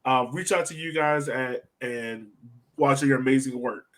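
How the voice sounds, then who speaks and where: A man's voice: medium pitch, measured pace, a bit bright, rough recording. A man hosting a podcast, chatting casually and putting questions to his guests. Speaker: podcast host.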